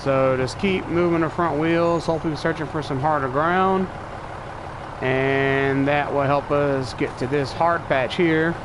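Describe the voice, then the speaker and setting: A man's voice: a little high, unhurried. Stuffy-nosed man streaming a truck game. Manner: relaxed, thinking aloud while driving. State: stuffy nose from allergies. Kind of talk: casual live gameplay commentary. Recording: clear game engine and truck noise behind voice. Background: truck engine and vehicle sounds from the game.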